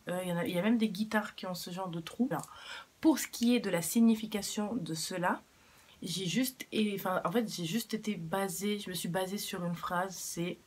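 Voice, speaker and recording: A woman's voice: mid-range voice, husky. A young woman born in Cuba who grew up in France, chatting casually and frankly to camera, with many fillers and casual swearing. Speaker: vlogger.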